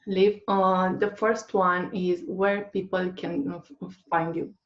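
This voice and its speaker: Low-voiced woman host, roomy dull audio. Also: level tone, slow. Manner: gentle, hesitant, many uh fillers. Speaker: interviewer host. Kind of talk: interview over a video call.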